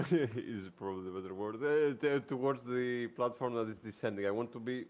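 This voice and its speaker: A man's voice: mid-range voice, brisk, a little mellow. A man playing a tabletop game, chatting casually and jokily as he describes what his character does. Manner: jokey, laughs often.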